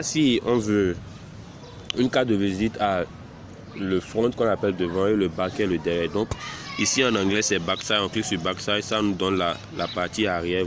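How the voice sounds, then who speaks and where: Man with a cold, deep voice, unhurried tutorial instructor. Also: background noise. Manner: slow, hesitant, talks through clicks step by step. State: has a cold. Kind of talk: screen-recorded software tutorial.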